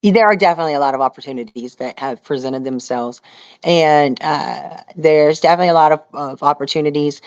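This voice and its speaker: A woman's voice: low-pitched, brisk, a little mellow, no room sound, muffled recording. A middle-aged American woman talking as an interview guest in a casual, earnest, rambling way with plenty of "you know". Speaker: interview guest, outdoor survival show contestant and angler.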